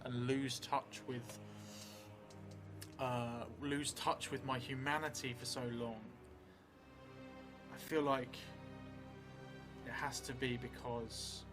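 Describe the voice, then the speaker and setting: A middle-aged man thinking aloud as he improvises a story for a solo role-playing game, halting and musing. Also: mid-range voice. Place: small indoor room at a table. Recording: music behind the voice.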